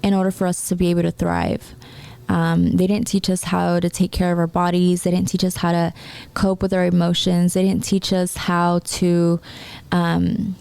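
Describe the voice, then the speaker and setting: A woman of twenty-three, raised in the United States, talking casually and haltingly in a personal podcast monologue. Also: lowish voice, monotone, gravelly, a little mellow, clean tone. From born in Mexico, raised in the United States. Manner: halting, restarts her sentences repeatedly. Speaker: podcast host and entrepreneur.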